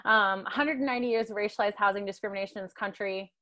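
A woman's voice: mid-range voice, fast talker, sharp tone. Young woman lecturing over a video call. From Tennessee, US. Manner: frequent ums and tag questions like "right?". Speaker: guest lecturer. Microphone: earbud headset on a video call.

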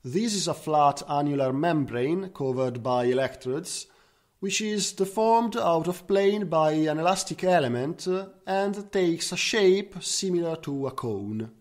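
A man's voice: fairly high pitch, expressive, a little slow. A man presenting scientific research as a conference speaker, in the style of a technical slide talk. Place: recorded online slide talk.

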